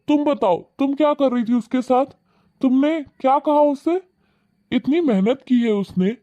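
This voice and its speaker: A man's voice: extremely high pitch, dark tone, dry recording, dull audio. A man voicing a kind young husband in a cartoon, speaking earnestly and in a lecturing way. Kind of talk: cartoon voice acting.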